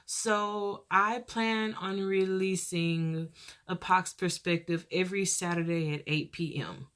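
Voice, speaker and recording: A young woman hosting her own podcast with a soft voice, talking casually and earnestly, rambling and pausing as she goes. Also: on the low side, fairly even intonation. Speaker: podcast host.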